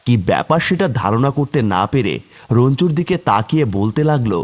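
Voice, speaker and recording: A man's voice: medium pitch, sing-song, brisk. A man narrating a scripted horror tale as a storyteller for a cartoon.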